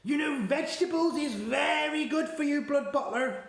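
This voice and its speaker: A man voicing a big kindly male giant who talks in playful jumbled grammar, coaxing and gentle. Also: very high voice, normal speed, echoey room, pitched above the performer's own voice.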